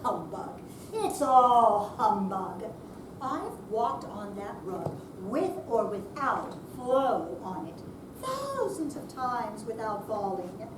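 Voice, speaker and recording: A woman's voice: mid-range voice, very animated, slow. A woman in an amateur stage reading, playing a stubborn, tired older woman recovering with a cast. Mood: grumbling, exhausted. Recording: roomy, distant, noisy live room recording.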